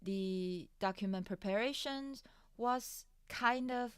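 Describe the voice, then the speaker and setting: A woman's voice: on the high side, clean tone, dry recording, studio quality. A woman whose first language is Mandarin, chatting candidly in English about her own experience, often restarting phrases. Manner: unhurried and candid, with frequent restarts and light laughs. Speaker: streamer sharing her startup funding experience. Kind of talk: casual chat.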